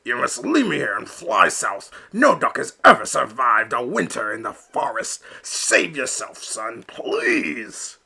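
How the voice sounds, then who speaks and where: A man voicing an old, stubborn father duck who speaks gruffly and insistently. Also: medium pitch, wildly swooping pitch, a little slow, hardly any pauses, sharp tone, exaggerated voice. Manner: gruff, insistent and distant-sounding.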